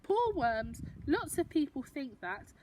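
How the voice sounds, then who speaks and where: A woman's voice: high voice, sing-song, slow pace, darkish tone, a little hiss or hum, voice pushed up, heavily acted. A woman voicing a kindly, knowing grandmother from a children's storybook, explaining things patiently. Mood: earnest, explaining. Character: knowing, kindly grandmother who loves worms. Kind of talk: children's storybook character reading.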